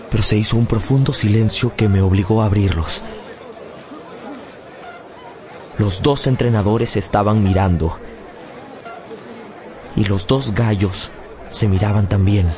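An actor narrating a radio drama as a man solemnly recounting a boyhood memory in measured, literary phrasing. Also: on the low side, a crowd in the background, natural voice. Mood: suspenseful. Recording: loud music bed behind studio voice.

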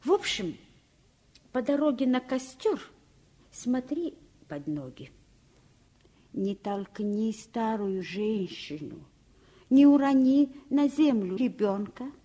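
An actress playing a kindly, theatrical French governess, strict yet playful, in an expressive, gently lecturing radio play style. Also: female voice, high voice, full character voice. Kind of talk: Soviet radio play acting.

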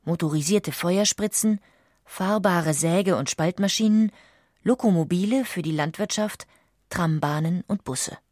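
A woman reading scripted documentary narration in a measured, articulate way. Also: fairly low pitch, darkish tone, no room sound, clean studio sound.

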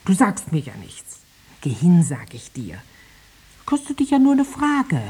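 A woman's voice: on the low side, wildly swooping pitch, unhurried, dark tone, breathy edge, full character voice. A woman playing a greedy, nagging farmer's wife in a 1950s radio play, urging and coaxing in a conspiratorial way. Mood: coaxing, impatient.

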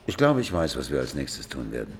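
A pensive male police detective with a very deep voice. He is ruminative and skeptical, weighing the evidence in a radio crime play. A light layer of ambience sits behind him. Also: huge pitch swings, brisk, a little hiss or hum, heavily acted. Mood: matter-of-fact.

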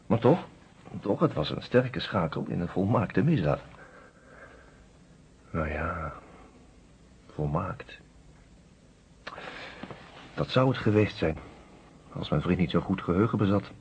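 An actor playing a genial, reflective lawyer who narrates a murder case in a radio drama, conversational and measured, with a husky voice on an old recording. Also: male voice, sits low, expressive, darkish tone, muffled recording, a little hiss or hum, exaggerated voice. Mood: wry, musing.

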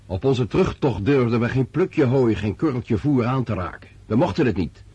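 An actor in a Dutch radio drama playing a loyal, plain-spoken battalion officer, hearty and respectful toward superiors. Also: male voice, medium pitch, lively intonation, normal speed, mellow, slightly rough, dry recording. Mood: earnest, indignant.

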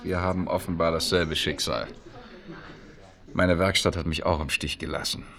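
Casual wry everyman, low creaky voice, colloquial, offhand delivery, radio drama. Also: fairly even intonation, moderate pace, a bit bright. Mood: wry, confiding. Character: casual, wry, sporty man hiding unemployment. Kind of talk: naturalistic radio drama acting.

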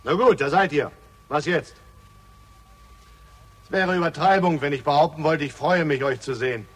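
Defiant accused man, husky voice, ironic oratory, 1960s radio drama, long hall reverb. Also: a little high, normal speed. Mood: sardonic. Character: defiant, sardonic accused man facing a lynch mob.

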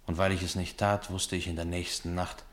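A man acting a mysterious, homesick foreign soldier in a radio play, speaking solemnly and poetically in a measured delivery. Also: sits low, level tone, close to an everyday voice. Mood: solemn, brooding.

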